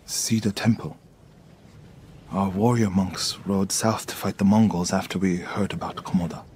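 A man playing a weary, grieving warrior monk in a game drama, speaking in a halting, somber and respectful way. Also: low voice, fairly even intonation, a little mellow, a little hiss or hum, lightly acted. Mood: grieving, haunted. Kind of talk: cinematic game drama acting.

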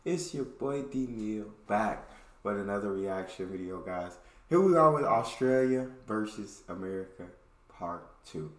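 A man's voice: fairly low pitch, lively intonation, a little slow, reverberant. A young man hosting a reaction video, chatting casually with slangy asides over a headset mic.

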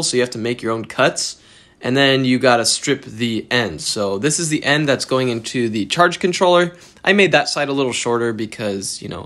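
A young man giving a casual, chatty voice-over for a do-it-yourself car project, relaxed and a little self-deprecating, with easy fillers. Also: mid-range voice. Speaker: DIY car channel host.

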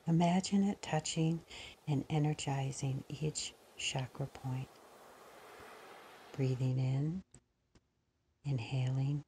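A woman leading a slow guided meditation in a breathy, husky voice that often nears a whisper, pausing between short phrases. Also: a bass voice, warm tone, slight background noise.

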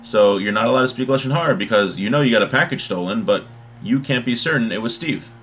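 A man's voice: medium pitch, animated, fast talker, a bit bright. A man in his thirties with an American accent teaching a religious text informally, reading and then explaining, often rephrasing himself. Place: small home room, indoors. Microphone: webcam or laptop mic at desk distance. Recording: clear background room noise.

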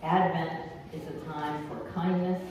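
Older female pastor, unhurried announcements, distant pulpit mic in a reverberant sanctuary with room noise. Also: low voice, flat delivery, darkish tone. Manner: homely, unhurried, with frequent ums. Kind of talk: church announcements and liturgy. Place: church sanctuary. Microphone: pulpit mic from a far camera. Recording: echoey church from far off, noisy.

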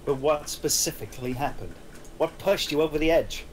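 A man's voice: a little high, normal speed, slight background noise, lightly acted. A man playing a probing, insistent police detective in a script table read.

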